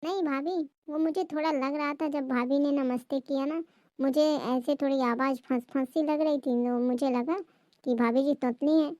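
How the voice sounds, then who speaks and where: A woman's voice: high voice, fairly even intonation, normal speed, darkish tone, no room sound, studio quality, lightly acted. A woman playing a nosy, blunt young female relative, prying in a family comedy skit.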